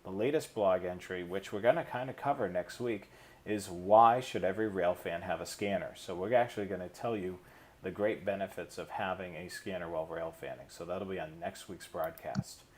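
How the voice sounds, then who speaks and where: Man, low-pitched voice, live-stream co-host, casual presenting, halting informal delivery.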